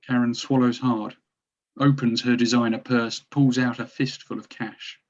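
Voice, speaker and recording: A man's voice: medium pitch, little pitch movement, measured pace. A man narrating stage directions from a drama script, recorded on dull, lo-fi audio.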